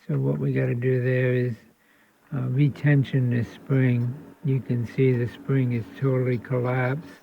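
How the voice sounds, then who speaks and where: A man's voice: mid-range voice, little pitch movement, a little slow, gravelly, slight background noise. A man casually explaining a repair at his workbench, rambling with frequent ums and restarts. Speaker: radio restoration hobbyist host. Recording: tinny phone-like sound.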